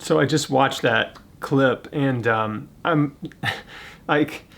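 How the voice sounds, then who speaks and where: A man's voice: medium pitch, drawn-out words, gravelly, heavy background noise. A man narrating a vlog in a casual, heartfelt, conversational way. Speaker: vlog host.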